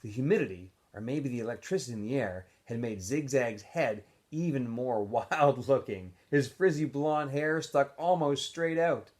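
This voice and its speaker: A man reading a story aloud as a teacher would, in an expressive, animated narrating style. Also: medium pitch, moderate pace, few pauses. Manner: animated, acts out dialogue in shifting voices.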